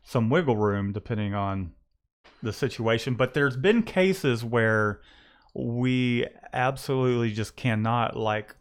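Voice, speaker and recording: A man's voice: medium pitch, slow pace. A man hosting a casual livestream about his screen-printing shop, talking in a rambling way with many restarts and fillers.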